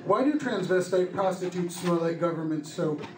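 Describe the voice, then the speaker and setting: High-pitched deadpan man in an echoey club. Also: moderate pace, crowd noise. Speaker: stand-up comedian. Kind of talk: stand-up comedy, short one-liners. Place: small comedy club stage, indoors. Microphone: handheld stage microphone. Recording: echoey club room with loud noise. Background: loud noise, audience laughter.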